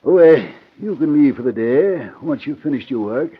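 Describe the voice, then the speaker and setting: A man's voice: medium pitch, animated, normal speed, husky. A man playing a respectable businessman who is secretly a crime boss, formal and curt in 1940s radio style. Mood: brisk, polite. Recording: muffled old radio drama recording.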